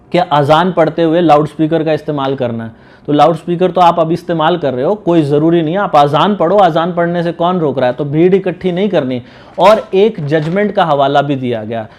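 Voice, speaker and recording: A man's voice: fairly high pitch, a little mellow. A man giving an informal legal explainer to viewers, teaching step by step and restating key points. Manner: didactic, repeats and rephrases key points. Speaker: advocate explaining legal news.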